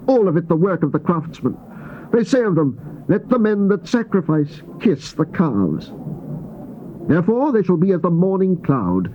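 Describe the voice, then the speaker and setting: A man gives a grave, theatrical scripture reading, declaiming with deliberate phrasing and emphatic pauses. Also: medium pitch. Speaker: scripture narrator. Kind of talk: dramatized Bible reading, solemn and theatrical. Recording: dull, noisy old cassette tape transfer.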